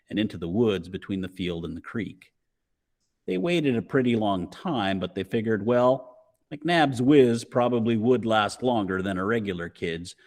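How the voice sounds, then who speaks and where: A man reading a children's novel aloud as dramatic narration, in punchy, suspenseful phrases. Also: lowish voice. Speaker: storybook reader.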